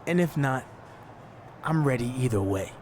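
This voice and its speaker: An actor playing a confident, defiant wrestler in a video game, answering an interview in a matter-of-fact way. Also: male voice, medium pitch, animated, moderate pace, a little mellow, slight background noise, natural voice.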